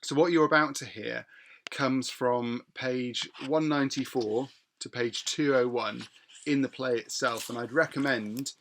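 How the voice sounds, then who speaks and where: A man with a British accent speaking as a teacher and podcast host, explaining things in a measured, somewhat hesitant way. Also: mid-range voice, fairly even intonation, fairly bright tone, a little hiss or hum.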